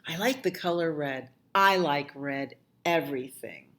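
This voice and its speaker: A woman's voice: sits low, measured pace. A woman voicing an eager, playful young child in a picture book, emphatic and contrary, drawing out favourite words. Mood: delighted. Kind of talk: picture-book dialogue read aloud.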